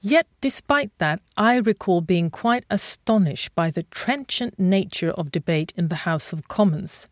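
A woman presenting a radio feature in a measured, reflective scripted narration. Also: lowish voice, lively intonation, dry recording. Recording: dry, slightly dull studio sound.

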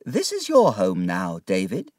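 Brief: a man doing a cold, commanding older aunt, high and loud, swooping pitch, heard from a distance. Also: wildly swooping pitch, unhurried, no room sound, heavily acted. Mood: brisk. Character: stern, decisive older woman, a boy's aunt. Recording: dry, distant from the mic.

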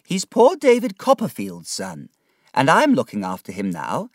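A stern, brisk older aunt, as a man puts her on. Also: medium pitch, wildly swooping pitch, no room sound, pitched above the performer's own voice. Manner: cold, firm and commanding. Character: stern, decisive older woman, a boy's aunt. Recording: dry, distant from the mic.

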